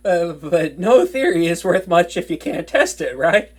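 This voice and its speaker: A woman playing a nervous, smitten young wizard in an intimate roleplay audio drama, with a stammering, excitable and bookish way of talking. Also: lowish voice, measured pace, husky, heavily acted. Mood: excited realization. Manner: flustered stammering, hesitant, breathless enthusiasm.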